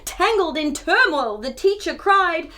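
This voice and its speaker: A woman hosting a children's storytime, reading a picture book aloud in an expressive, theatrical way and chatting to young viewers. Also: extremely high pitch, measured pace. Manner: expressive, theatrical, with gasps and exclamations.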